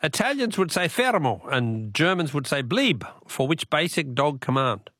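A man's voice: a little high, sing-song, normal speed, a bit bright, dry recording, studio quality. An Australian man hosting a live phone-in radio quiz, chatting with callers in a jokey, bantering way.

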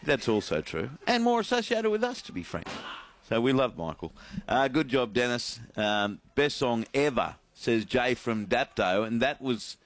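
A man's voice: medium pitch, big pitch swings, moderate pace, a little hiss or hum. An Australian man hosting a sports radio show, chatting with co-hosts and reading out listener texts. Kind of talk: casual sports radio banter.

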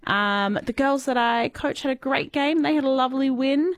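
An Australian woman with a loud voice, reading a radio news bulletin and then chatting cheerfully in a studio. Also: sits high, normal speed, no echo. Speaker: newsreader. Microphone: studio microphone.

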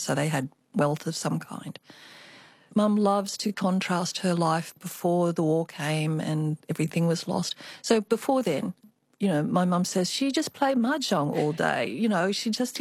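A woman artist being interviewed, telling family stories in a reflective, unhurried way. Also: lowish voice, dry recording. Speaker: guest, artist. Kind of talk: radio interview storytelling.

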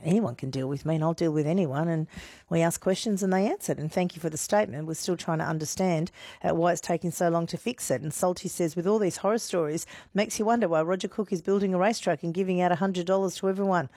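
A woman's voice: on the low side, fast, warm tone, no echo, clean studio sound. A woman hosting morning radio, breezy and friendly as she reads out listener messages. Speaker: radio host. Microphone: studio microphone.

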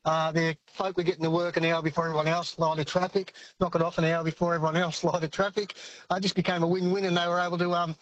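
An older man calling in to a radio show, talking haltingly with many pauses. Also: on the high side, flat delivery, a bit bright, no echo, muffled recording. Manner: halting, many ums and uhs. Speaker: caller. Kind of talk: phone-in comment.

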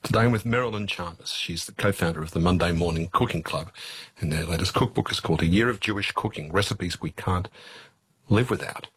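A man's voice: low voice, a little fast, a bit bright, a little hiss or hum, rough recording. A man hosting a conversational interview, asking curious questions and reacting with interjections. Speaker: interviewer and host.

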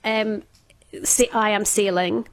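A woman's voice: mid-range voice, steady tone, takes it slowly, dry recording, low-quality recording. A Scottish woman in her fifties, a radio guest telling a personal story in casual chat, hesitant, with frequent small laughs. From Scotland.

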